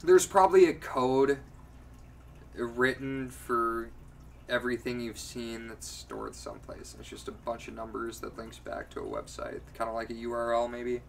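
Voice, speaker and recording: A man's voice: medium pitch, sing-song, moderate pace, vocal fry. Boisterous young man bantering, noisy distant mic. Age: 20s. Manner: boisterous, slangy, profane. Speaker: podcast co-host. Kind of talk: casual banter, joking. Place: furnished home room, indoors. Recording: hissy room noise, distant mic.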